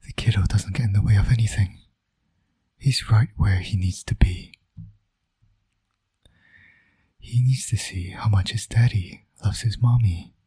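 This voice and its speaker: Guy doing a teasing villain daddy for romance audio, lowish voice, super husky and breathy, almost whispery, smug and intimate. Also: moderate pace, mellow. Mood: tender, teasing. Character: teasing flirtatious male villain, doting new father. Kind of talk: romantic roleplay audio acting.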